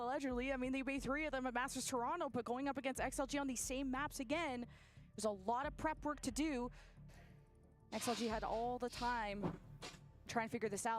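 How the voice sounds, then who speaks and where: A woman casting a professional esports match with brisk play-by-play that rises on big plays. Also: fairly high pitch, a little hiss or hum. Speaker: esports caster. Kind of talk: play-by-play commentary.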